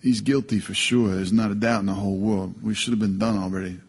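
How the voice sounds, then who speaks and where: A man's voice: lowish voice, steady tone, fast talker, breathy edge. An actor playing a plain-spoken, easygoing working man on a jury in a radio drama, casual and blunt.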